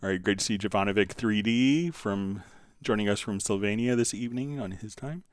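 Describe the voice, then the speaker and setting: Low-voiced man hosting a software tutorial. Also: fairly quick, no echo, clean studio sound. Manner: conversational, step-by-step explaining. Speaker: livestream host, software instructor. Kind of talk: casual live tutorial, answering viewer questions.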